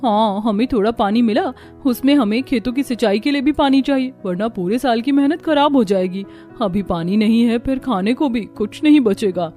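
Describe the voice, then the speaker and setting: A woman's voice: on the high side, normal speed, dark tone, soft background music, close to an everyday voice. A woman playing a weary village daughter-in-law in a cartoon story, lamenting earnestly. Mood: earnest, worried. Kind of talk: Hindi cartoon story dialogue.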